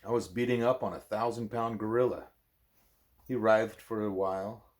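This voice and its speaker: A man reading an audiobook aloud as an expressive story narrator. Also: on the low side, moderate pace. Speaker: audiobook reader.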